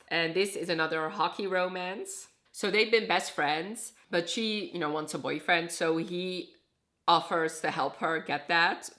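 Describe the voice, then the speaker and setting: A young woman from Iraq talks casually as a book reviewer, summing up plots. Her voice sits low. She often stops and corrects herself mid-sentence. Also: a bit bright. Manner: chatty, self-correcting, summarizing. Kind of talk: casual booktube chat.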